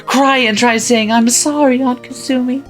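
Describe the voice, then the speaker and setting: A woman's voice: sits high, fairly even intonation, normal speed, natural voice. A woman voicing a cruel, cackling witch who mocks and taunts, with faint music behind. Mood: taunting. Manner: mocking, taunting, frequent cackling laughter. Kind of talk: visual novel voice acting.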